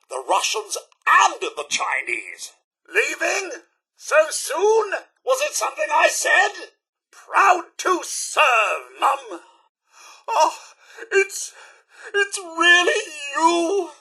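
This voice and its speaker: A fussy British robot butler in a video game, sitting high in pitch and speaking in an unhurried way. The delivery is formal, theatrically polite and eager to serve, with a slightly raspy edge to the tone. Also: male voice, bright, forceful tone, heavily acted. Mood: grave, paranoid, then hurt, emotional. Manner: prim, formal, theatrically courteous. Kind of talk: video game companion dialogue.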